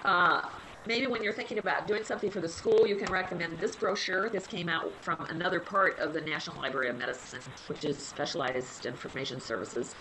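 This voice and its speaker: A woman's voice: on the low side, steady tone, fairly quick, pushed and bright, slight background noise, poor audio. A woman with a slight cold and a somewhat nasal voice, chatting casually as she presents free health resources in a webinar.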